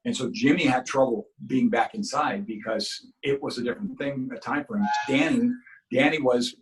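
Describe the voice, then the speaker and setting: A man's voice: medium pitch, lively intonation, normal speed, noisy background. Earnest middle-aged man, Cuban-born. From Cuba. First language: Spanish. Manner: earnest, talks in long runs with many restarts. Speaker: guest actor. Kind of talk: casual interview storytelling.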